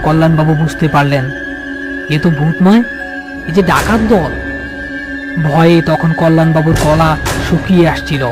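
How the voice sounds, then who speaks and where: A man's voice: on the high side, fairly even intonation, a little slow, long pauses, over music. A man narrating a scripted horror story as its storyteller.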